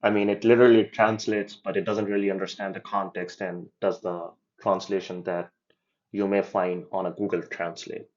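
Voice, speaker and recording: A man explaining and demoing his software project in a casual walkthrough, hesitating with ums and restarts as he goes. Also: low-pitched, flat delivery, normal speed, dull audio. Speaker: developer giving a project demo. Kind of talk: casual screen-share demo walkthrough.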